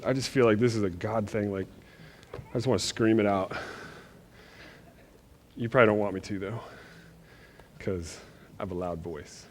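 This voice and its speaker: A man from Northern Virginia preaching in a church, telling a story in a loose, animated, self-deprecating way with lots of restarts. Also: lowish voice, a little hiss or hum. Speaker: pastor. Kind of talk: casual storytelling sermon.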